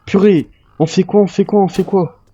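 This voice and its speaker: A man's voice: sits high, steady tone, moderate pace, mellow, slight background noise. A man improvising in character in an online role-play game, insistently repeating short phrases. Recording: slightly dull, lo-fi game voice chat.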